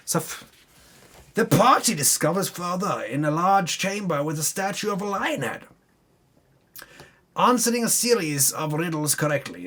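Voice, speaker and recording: A man with a fairly high, husky voice reads a story recap as theatrical narration. His pitch swings widely for dramatic effect. He often breaks off into sweary, off-the-cuff asides about his own slips. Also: fairly bright tone. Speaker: host recapping a tabletop game campaign.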